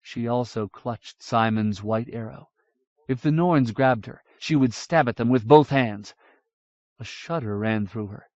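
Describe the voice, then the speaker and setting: A man reading a fantasy novel aloud in a measured, even storytelling manner. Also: medium pitch, no echo. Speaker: audiobook narrator. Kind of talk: scripted fantasy narration. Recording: dull dry audiobook recording.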